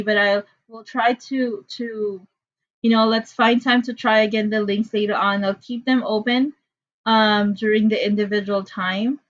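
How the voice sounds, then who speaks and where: A woman's voice: medium pitch, a bit bright, lo-fi sound. Chatty Filipino-accented woman teacher. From Philippines. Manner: chatty, apologetic, with frequent fillers. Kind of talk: online class instruction. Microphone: computer mic on a video call.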